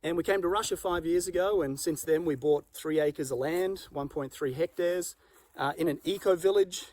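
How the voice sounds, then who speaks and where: A man with an Australian accent, speaking in a relaxed, chatty way full of "uh" and "like" as he walks around his rural farm for a vlog. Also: a little high, no echo. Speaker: vlogger. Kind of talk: casual walk-and-talk vlog. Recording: loud outdoor background noise.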